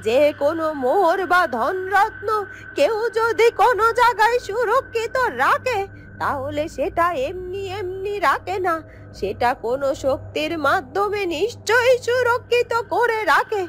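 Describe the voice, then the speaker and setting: A woman voicing a scheming, greedy younger sister of a witch in a fairy-tale cartoon, fretful and excitable. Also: very high voice, a little slow, slight background noise, full character voice. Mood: anxious scheming.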